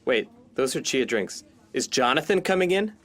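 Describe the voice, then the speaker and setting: An actor playing an anxious, self-doubting copywriter in a scripted workplace comedy, with a nervy, fretful manner. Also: male voice, mid-range voice, sing-song, normal speed, fairly bright tone. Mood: curious. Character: anxious, self-doubting male copywriter and failed stand-up comic.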